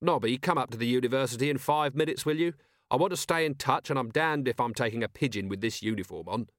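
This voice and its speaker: A man's voice: mid-range voice, quick pace, fairly bright tone, no echo, studio quality, close to an everyday voice. A man playing a wry, weary city watch commander in an audiobook, speaking in a sardonic, clipped way. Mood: brisk.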